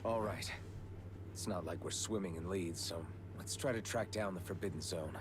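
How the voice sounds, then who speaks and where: An actor playing a wary, down-to-earth warrior hero in a video game, plain-spoken and earnest. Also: male voice, deep voice, normal speed, noisy background, lightly acted. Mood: doubtful, resolved.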